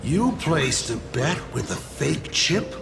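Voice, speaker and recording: Gravelly, swooping, taunting villain. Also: male voice, on the high side, sing-song, exaggerated voice. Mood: smug, needling. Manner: teasing, taunting, drawn-out dramatic delivery. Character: mocking, theatrical male villain, a fallen navigator turned destroyer. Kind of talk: dramatic video game voice acting. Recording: loud game music behind. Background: game soundtrack music.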